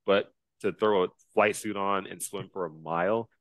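A man in his thirties from Texas, a guest telling his story in a casual video-call interview, upbeat and quick to laugh, with many fillers. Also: deep voice, steady tone, fairly bright tone, dry recording. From Dallas, Texas. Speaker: guest, Navy officer and race driver. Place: small indoor room. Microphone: headset.

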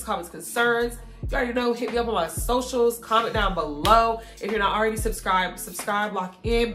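A young woman vlogging in a casual, encouraging way, her voice hoarse and congested, talking straight to her viewers. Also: mid-range voice, fairly bright tone, echoey room. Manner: encouraging, preachy, addresses viewers directly. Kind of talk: casual faith-filled catch-up talk.

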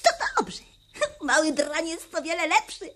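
A woman's voice: very high-pitched, unhurried. A woman playing a tiny, fearless, mischievous girl creature in a 1970s radio play, cheeky and exclamatory. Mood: cheeky, excited. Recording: noisy vintage vinyl radio play.

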